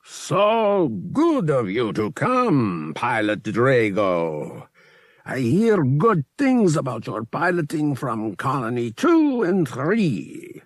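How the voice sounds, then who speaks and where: Picture an imperious alien high priest from an audiobook space adventure, acted out by a man. He speaks slowly and formally, stretching his phrases with commanding deliberation. His pitch swells and swoops well above a plain narrating voice. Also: wildly swooping pitch, no echo, clean studio sound. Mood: smooth command. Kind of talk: audiobook character voice.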